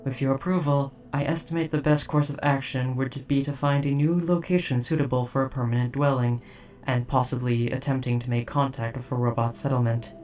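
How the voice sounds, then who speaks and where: Audio drama robot soldier, played by an actor: deadpan, measured, protective, monotone delivery, slightly off-mic. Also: mid-range voice, distant mic, close to an everyday voice. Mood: calm, protective. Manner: formal, measured, literal, deadpan. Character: stoic protective military robot, old, caring, formal. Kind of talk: scripted audio roleplay.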